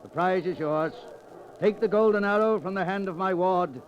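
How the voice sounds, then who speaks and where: An actor playing an imperious, crafty sheriff in old radio style, blustering in archaic speech. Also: male voice, sits high, moderate pace, natural voice. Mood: pleased, commanding. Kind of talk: 1940s radio acting. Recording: dull old 1940s radio recording.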